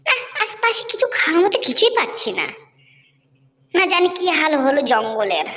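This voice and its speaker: A woman's voice: high voice, wildly swooping pitch, moderate pace, sharp tone, heavily acted. A woman voicing a grumbling animal in a cartoon, complaining in an exclamatory way. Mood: hungry, grumbling. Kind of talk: Bengali cartoon character dubbing. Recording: long hall-like reverb.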